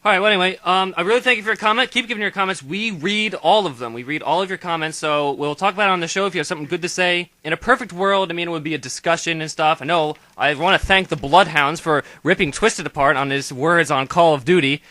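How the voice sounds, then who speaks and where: A man's voice: a little high, sharp tone, no room sound. A young man hosting a casual gaming discussion show, thanking viewers and moving the panel along. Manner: quick, upbeat, thanks viewers. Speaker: show host.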